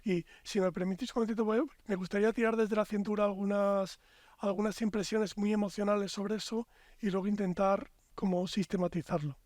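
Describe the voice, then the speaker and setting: A man's voice: high voice, level tone, brisk, darkish tone, no room sound, clean studio sound. A man with a Spanish accent, a podcast guest, talking in long reflective, expansive stretches. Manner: reflective, expansive, builds long lyrical arguments. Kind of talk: casual podcast conversation.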